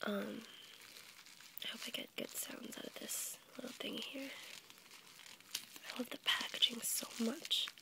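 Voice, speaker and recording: A young woman with a cold, whispering softly as she rambles for an ASMR video, stuffy and a little congested. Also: a little high, fairly even intonation, measured pace, vocal fry, long pauses, low-quality recording. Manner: soft unvoiced whisper, rambling with fillers and small laughs. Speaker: ASMR YouTuber. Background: crinkling packaging.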